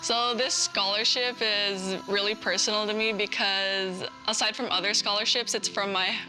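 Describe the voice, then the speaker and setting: A young woman from Hawaii speaks sincerely in a filmed interview, now and then using "like". Music plays clearly under her voice. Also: mid-range voice, steady tone, normal speed, rough recording. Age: young adult. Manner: sincere, uses "like". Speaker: student scholarship recipient.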